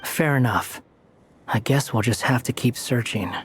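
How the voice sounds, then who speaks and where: A man's voice: medium pitch, unhurried, noisy background, lightly acted. A man playing an aloof, sardonic young man in a video game, with a dry, haughty delivery. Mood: measured.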